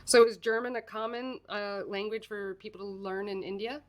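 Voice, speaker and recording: A woman's voice: mid-range voice, level tone, moderate pace. An American woman hosting a crafting livestream, chatting casually and self-deprecatingly while she works, laughing often and a little congested. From United States.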